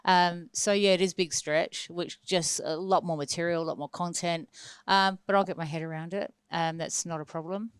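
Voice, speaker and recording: A woman's voice: on the low side, steady tone, normal speed, clean tone, dry recording. An Australian woman chatting enthusiastically as a singer and actress guest on a radio talk show. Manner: chatty, enthusiastic, lots of fillers. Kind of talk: casual interview chat. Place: radio studio.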